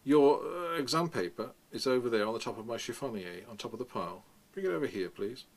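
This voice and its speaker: A man's voice: medium pitch, animated, brisk. A man voicing a sarcastic old male history teacher, lecturing and mocking, raising his voice for the part.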